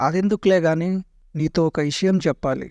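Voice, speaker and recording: A man's voice: fairly high pitch, a little fast, vocal fry, a little mellow, dry recording. Anxious man acting an old warrior uncle. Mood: urgent, exasperated. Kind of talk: comic cartoon parody dialogue.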